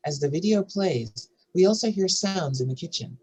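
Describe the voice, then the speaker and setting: A woman with a husky voice narrating screenplay stage directions in a scripted reading. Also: fairly low pitch, animated, normal speed, dark tone.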